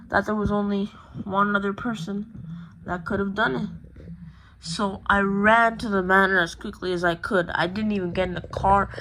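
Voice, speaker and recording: A woman's voice: medium pitch, a little hiss or hum, close to an everyday voice, rough recording. A woman playing an earnest, determined detective's assistant who narrates a radio play in plain first-person storytelling.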